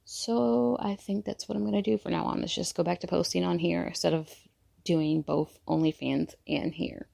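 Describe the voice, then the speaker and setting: A woman's voice: on the low side, fairly even intonation, normal speed, vocal fry, warm tone, no echo, clean studio sound. A woman hosting her own poetry podcast, speaking in an intimate, personal way. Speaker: podcast host and poet. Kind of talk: intimate spoken-word poetry reading and casual podcast chat.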